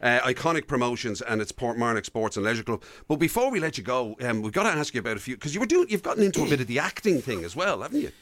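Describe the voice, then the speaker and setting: A man's voice: medium pitch, big pitch swings, racing, hardly any pauses, a bit bright, no room sound. An Irish man hosting a casual radio interview in an enthusiastic way. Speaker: radio host.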